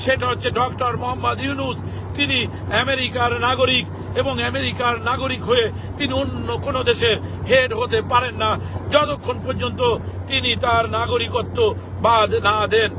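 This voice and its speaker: A man with a Bangladeshi accent giving an emphatic political address to followers in halting short phrases. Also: extremely high pitch. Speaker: political activist and legal expert. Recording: loud outdoor urban traffic noise.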